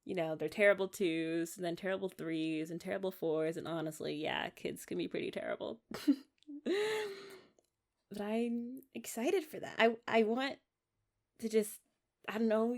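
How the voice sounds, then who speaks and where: A woman's voice: mid-range voice, a little mellow, lightly acted. Woman acting as a tender, sentimental young wife. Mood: excited, wistful. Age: young adult. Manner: tender, halting, giggly, speaking as if to a partner. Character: loving young wife, sentimental and eager for motherhood. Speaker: ASMR roleplay performer. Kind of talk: ASMR romantic roleplay, talking to the listener.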